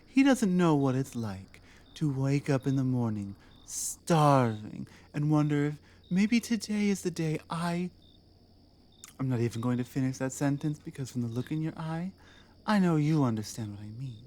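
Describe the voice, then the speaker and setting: A woman playing a proud, battle-hardened orc queen in a scripted ASMR roleplay, speaking deliberately with commanding, pointed rhetorical phrasing. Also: low-pitched, lively intonation, warm tone. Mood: scornful.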